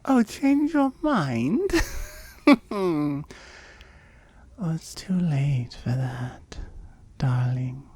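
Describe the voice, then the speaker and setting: A woman acts out a seductive immortal oni demoness for an intimate ASMR audio drama. Her voice is mellow and breathy, often sinking into whispers. She takes her time, swooping through her lines with smug, coaxing playfulness. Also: low voice, expressive, no room sound, heavily acted. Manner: slow, coaxing, teasing.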